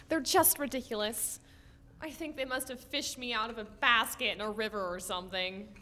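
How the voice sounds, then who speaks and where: A woman's voice: sits high, noisy background. A woman playing a dramatic, earnest, lovestruck teenage girl in a comedic audio drama, gushing and theatrical. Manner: gushing, theatrical, exasperated with family.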